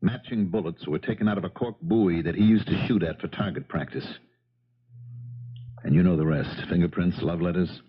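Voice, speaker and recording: A dogged police detective with a very low, husky American voice. He states his accusations flatly and without hurry, as in a muffled 1970s radio play. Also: moderate pace. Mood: dry, confident. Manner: matter-of-fact, accusing. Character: dogged, unhurried male police detective laying out a murder case. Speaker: radio actor. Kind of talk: 1970s radio drama acting.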